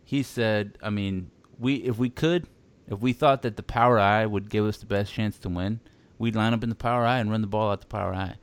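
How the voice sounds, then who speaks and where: A man's voice: lowish voice, fairly even intonation, fairly quick, few pauses, smooth. A man from Texas in casual sports chat, rambling with many restarts and fillers.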